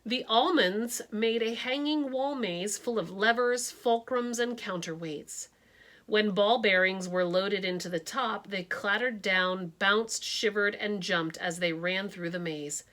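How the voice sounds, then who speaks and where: Female storybook reader, bright tone, unhurried, expressive. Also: medium pitch. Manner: expressive, adds her own asides. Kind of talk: reading a picture book aloud.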